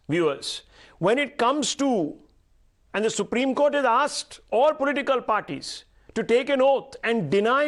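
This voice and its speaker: High-voiced male news anchor. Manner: firm, cuts in to steer speakers. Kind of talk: moderating a studio debate.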